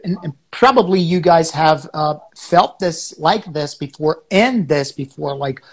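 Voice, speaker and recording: A man from Brazil, a native Portuguese speaker, co-hosting a teaching podcast and explaining ideas with many pauses and fillers. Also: a little high, animated. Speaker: podcast co-host, English teacher. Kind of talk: casual educational chat.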